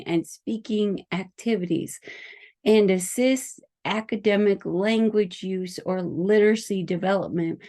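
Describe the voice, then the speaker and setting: A woman who speaks English natively, giving an informal explanatory lecture as an educator in a careful, halting manner. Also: mid-range voice, warm tone. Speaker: teacher educator explaining a learning principle.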